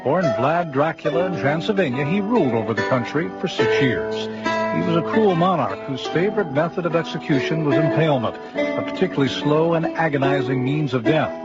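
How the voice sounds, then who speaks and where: A man's voice: a little high, animated, a little fast. A man presenting a radio segment in a scripted, explanatory narration style. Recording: dull radio sound. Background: loud plucked string music.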